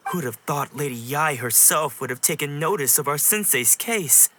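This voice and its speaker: A man's voice: a little high, lively intonation, normal speed, over music, natural voice. A man playing a polite, nervous young sword disciple in a video game, easily flustered as he explains. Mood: awed.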